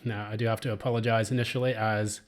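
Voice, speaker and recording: A man with a hoarse, recovering voice giving a casual, rambling solo tarot reading, with many filler words. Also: on the low side, flat delivery, fast talker. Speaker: tarot reader.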